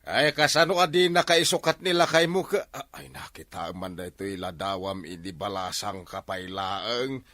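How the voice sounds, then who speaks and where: A man's voice: sits low, lively intonation, normal speed, few pauses, bright, forceful tone, dry recording, clean studio sound. A man with an Ilocano accent playing an older, hesitant, placating father figure in a comedy drama.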